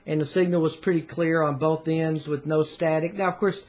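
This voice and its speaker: Higher-pitched man, casual product reviewer. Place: small indoor room at home.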